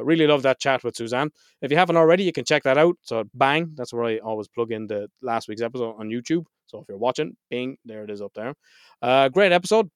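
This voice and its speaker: A man's voice: mid-range voice, clean tone, dry recording. A man hosting a mental health podcast, speaking frankly and swearing freely, a little nasal and stuffed up from a head cold. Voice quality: slightly nasal. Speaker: podcast host. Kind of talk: casual solo podcast intro.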